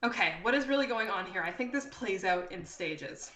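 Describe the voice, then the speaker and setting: Woman gm narrating a tabletop rpg, bright voice, kinda echoey. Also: mid-range voice, animated, moderate pace. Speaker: game master. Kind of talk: improvised narration of a tabletop role-playing game. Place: indoor room, live online stream. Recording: roomy, echoey sound.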